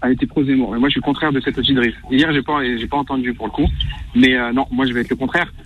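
Caller, male, 30s, from Algeria, hesitant and halting over faint line noise. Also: medium pitch, fairly even intonation, phone-quality sound. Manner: hesitant, halting, repeats words often. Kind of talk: call-in to a radio show. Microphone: phone line.

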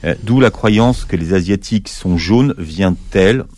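A man's voice: low-pitched, unhurried, a little mellow. A man hosting an interview, asking formal questions and backing the guest up with short agreements. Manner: formal questioning, with frequent "mhm" backchannels. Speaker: interviewer host.

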